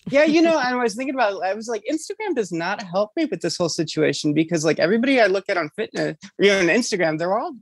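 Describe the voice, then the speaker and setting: A woman's voice: medium pitch, expressive, quick pace, few pauses, dry recording, clean studio sound. Hesitant, self-deprecating woman in her thirties. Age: 30s. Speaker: caller seeking advice. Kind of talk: casual chat.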